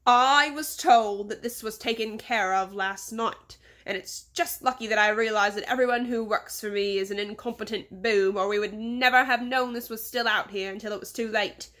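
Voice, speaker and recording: A woman's voice: on the high side, moderate pace, hardly any pauses, fairly bright tone, lightly acted. A woman voicing a pompous, insulting rich man with an English accent in a storybook, imperious and scolding. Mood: fuming, scornful.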